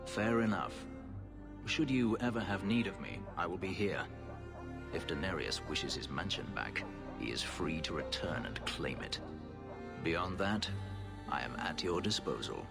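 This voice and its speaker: A man playing a bitter, guarded escaped elf slave in a video game, speaking formally and gravely in a weighty voice. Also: low-pitched, expressive, heavily acted. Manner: formal, grave, measured speech. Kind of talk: dramatic video game acting. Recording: music playing behind the voice.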